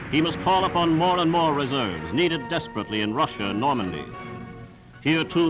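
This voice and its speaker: A man's voice: fairly high pitch, low-quality recording. A man reading scripted 1940s newsreel narration in a formal, emphatic, declamatory manner on a vintage soundtrack.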